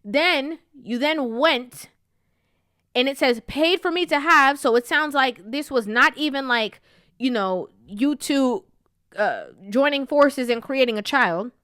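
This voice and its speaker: This woman's voice sits somewhat high and sounds blocked up, with a sniffly, stuffed nose. She delivers blunt, emphatic opinions in a commentary vlog and keeps tossing in "okay?". The recording is dry and close, with no room around it. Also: lively intonation, slow pace, a bit bright, clean tone, no echo. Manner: emphatic, frank, checks in with "okay?". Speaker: commentary vlogger.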